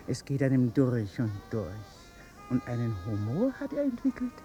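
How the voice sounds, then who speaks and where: A flirtatious, excitable high-society lady in a vintage radio play. Her voice is mellow and very animated, with theatrical, coquettish swings of pitch. Faint music sits behind her. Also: normal speed, lo-fi sound, full character voice. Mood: enraptured. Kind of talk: 1950s German radio drama acting.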